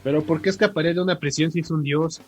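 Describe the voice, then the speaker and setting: A man's voice: fairly high pitch, steady tone, quick pace. A man with a Mexican accent co-hosting a podcast, bantering and asking questions in a joking, irreverent, sweary way. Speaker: podcast co-host.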